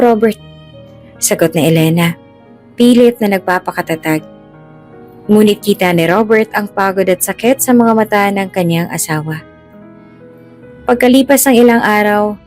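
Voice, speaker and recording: A woman's voice: medium pitch, a little mellow. A woman storyteller reading a dramatic scripted narration. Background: music.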